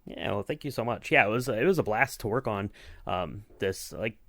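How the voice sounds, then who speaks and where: Male podcast host, fairly low voice, loose rambling conversational delivery, very dry recording. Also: expressive, normal speed, fairly bright tone, no room sound. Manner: rambling, hedging with kind of and you know. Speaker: podcast host and sound designer. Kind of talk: casual behind-the-scenes chat.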